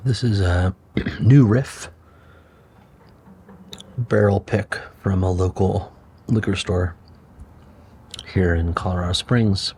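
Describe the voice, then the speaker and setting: Slow, deep-voiced man musing. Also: warm tone, slight background noise. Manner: slow, unhurried, musing with pauses. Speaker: channel host. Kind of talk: relaxed solo vlog talk.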